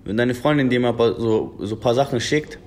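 A man's voice: mid-range voice, moderate pace, a little mellow. A young man in his twenties from Germany, a rapper talking earnestly over a phone video call, calling the interviewer brother again and again. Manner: earnest, repeats "Bruder" often. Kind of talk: casual video-call chat. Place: indoor room at a table.

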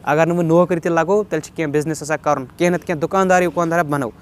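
Kashmiri guy in his 20s, slightly high voice, casual q&a vlog, chatty, throws in some english. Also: fairly even intonation, quick pace, slight background noise. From Kashmir, India. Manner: chatty, reads questions aloud then answers, slips English phrases in. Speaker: vlogger answering viewer questions. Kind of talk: casual question-and-answer vlog. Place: outdoors on a rooftop.

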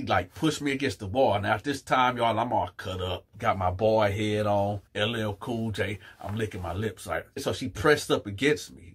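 A young man telling a story to camera, animated and emphatic with crude humor, addressing his viewers directly on a lapel mic in a small room. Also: lowish voice, slightly rough. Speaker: YouTube storyteller. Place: small indoor room in front of a fabric backdrop.

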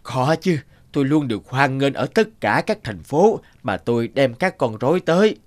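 A man voicing a modest, kindly old puppeteer in a cartoon fairy tale, measured and reflective. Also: mid-range voice, big pitch swings, lightly acted. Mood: wistful.

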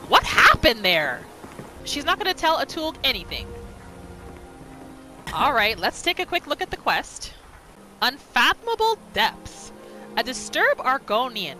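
A woman narrates her video game roleplay in a high, bright voice. Her delivery is animated and reactive, with wide pitch swings. Soft music sits behind her. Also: poor audio. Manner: reactive, narrates her character in third person. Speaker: gaming video host. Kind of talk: roleplay gameplay commentary.

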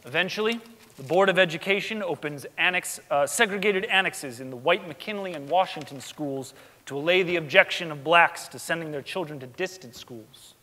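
A man's voice: on the high side, moderate pace, bright, forceful tone. A man giving a scripted dramatic reading as narrator on a theatre stage. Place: large indoor theatre stage. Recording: echoey theatre hall.

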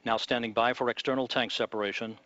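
Calm male launch commentator, dry dull audio. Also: mid-range voice, no echo. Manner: measured, calm announcer delivery. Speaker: launch commentator at mission control.

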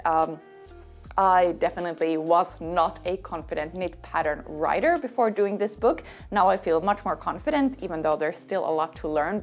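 A woman in her thirties from Finland whose first language is Swedish, chatting casually to camera in her living room about making a knitting book, relaxed, with frequent fillers and light laughs. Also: medium pitch. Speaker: knitwear designer and vlogger. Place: bright living room at home.

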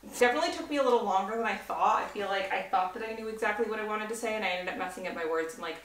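A woman's voice: mid-range voice, rapid-fire, fairly bright tone. A young woman in her twenties, chatting casually to the camera at home in a bubbly, gushing way. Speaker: lifestyle vlogger. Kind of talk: casual vlog chat to camera. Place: small apartment, indoors. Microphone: camera mic, handheld or set on a tripod. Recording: echoey room with faint noise.

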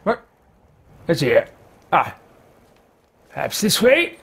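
A man's voice: on the high side, wildly swooping pitch, slow pace, vocal fry, a bit bright, husky, heavily acted. A man in his thirties voicing an arrogant, bitter, power-hungry wizard in a video game roleplay, speaking in a pompous, theatrical, archaic manner. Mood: haughty, weary. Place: small home room, indoors.

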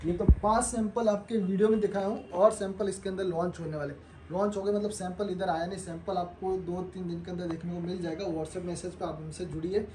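A young man pitching his clothing collection to viewers in a showroom, talking persuasively while showing garments. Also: steady tone, a little fast, noisy background. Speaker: clothing wholesaler.

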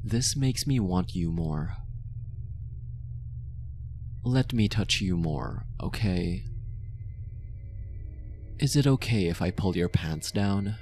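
Possessive mafia boss lover, played by a man: deepish voice, intimate and coaxing, obsessively devoted, ASMR audio roleplay over light music. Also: animated, full character voice. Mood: seductive, possessive. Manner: slow, soft, coaxing, close and intimate.

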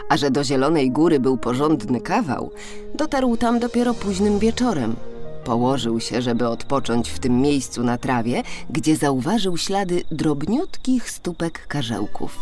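A woman with a low voice tells a children's fairy tale. Her delivery is dramatic, with pitch that moves widely from phrase to phrase. Soft music plays behind her. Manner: animated, dramatic storytelling delivery. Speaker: storyteller. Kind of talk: expressive scripted children's fairy-tale narration. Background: background music.

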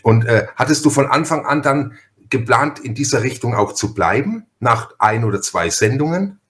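A man's voice: mid-range voice, lively intonation, moderate pace, a bit bright. A man hosting a podcast interview in a casual, chatty and wordy way, often interrupting himself and repeating words.

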